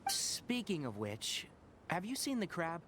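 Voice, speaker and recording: A calm young ranger scholar. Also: male voice, on the high side, a little hiss or hum, heavily acted. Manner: calm, measured, lecturing. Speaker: ranger character. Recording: game audio with background ambience.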